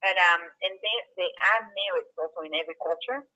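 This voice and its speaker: A woman's voice: fairly low pitch, fairly quick, sharp tone, muffled recording. A woman from Peru speaking on an online call, earnest and reflective, with many "you know" fillers. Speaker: guest speaker. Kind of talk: online video call chat. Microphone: computer mic on a video call.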